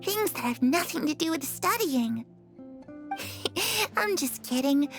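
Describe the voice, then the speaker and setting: A woman playing a gentle, wistful young girl in a video game, with a soft, sincere, girlish way of speaking. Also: high-pitched, expressive, slow pace, heavily acted. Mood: dreamy, playful. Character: gentle, wistful, dreamy young girl, curious about the world. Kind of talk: dramatic video game voice acting. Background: background music.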